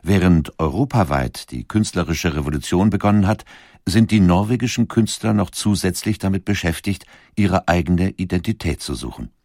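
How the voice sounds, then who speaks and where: A man reading scripted documentary narration in a measured, factual way. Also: low voice, darkish tone, dry recording, clean studio sound.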